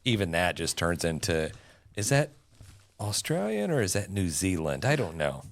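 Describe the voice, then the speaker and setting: A man with a Texan accent co-hosting a barbecue podcast, speaking in halting, playful casual chat. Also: low-pitched, very animated, creaky. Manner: halting, restarts often, playful. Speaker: podcast co-host.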